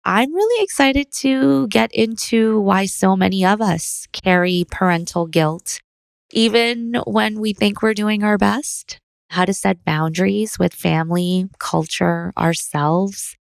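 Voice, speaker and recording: A woman's voice: medium pitch, takes it slowly, vocal fry, no echo. A woman hosting a podcast interview, asking conversational questions in a friendly manner. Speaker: podcast host.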